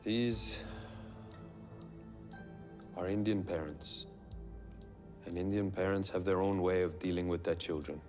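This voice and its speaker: A measured defense lawyer. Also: male voice, deep voice, steady tone, a little mellow, soft background music. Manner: formal, measured arguing. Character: composed male defense lawyer in court. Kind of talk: film courtroom drama. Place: courtroom.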